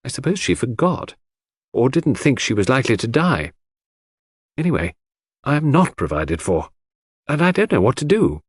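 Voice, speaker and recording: Here is a man reading as a gentle young woman from a classic mystery audiobook. The voice is raised and husky, swooping as it confides. The delivery is hesitant, wistful and plaintive. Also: mid-range voice, very animated, moderate pace, pauses often, dry recording, clean studio sound, pitched above the performer's own voice. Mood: anxious, appealing.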